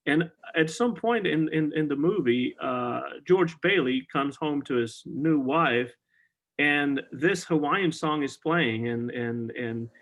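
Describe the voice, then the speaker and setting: A man's voice: a little high, slow pace, fairly bright tone. A middle-aged man hosting a podcast, talking casually and enthusiastically about old songs, with many fillers and restarts. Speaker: podcast host. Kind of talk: casual conversation about music. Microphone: video call.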